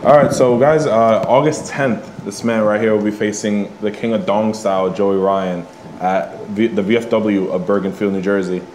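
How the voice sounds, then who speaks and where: A man's voice: on the low side, steady tone, moderate pace, darkish tone. A man hosting a casual, friendly interview in an echoey studio with faint background noise, using short informal questions. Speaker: interviewer, host. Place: indoor studio.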